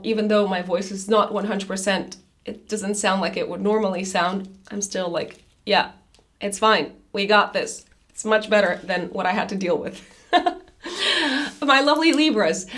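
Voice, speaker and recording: A woman reading a monthly horoscope in a chatty, loose way, her voice raspy after an illness. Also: mid-range voice, a little slow, fairly bright tone. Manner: chatty, loose, with many fillers and restarts. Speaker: astrologer.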